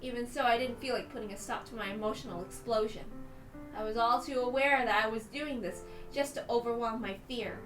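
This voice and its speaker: A woman's voice: on the high side, a little fast, fairly bright tone, reverberant, rough recording. A woman reading a visual novel aloud as a dramatic first-person narration. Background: background music.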